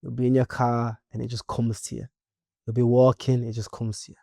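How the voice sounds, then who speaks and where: Gravelly-voiced young Leeds musician. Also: male voice, medium pitch, brisk, dry recording, clean studio sound. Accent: Leeds English. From Leeds, England. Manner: animated, playful, chatty. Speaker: musician guest. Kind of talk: casual interview chat.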